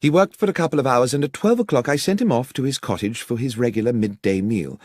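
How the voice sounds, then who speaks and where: A man's voice: mid-range voice, animated, fast talker, darkish tone, no echo, studio quality, close to an everyday voice. A man voicing an earnest village vicar who recounts events in formal, wordy, precise sentences for an audiobook. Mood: earnest, explanatory. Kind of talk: audiobook character dialogue.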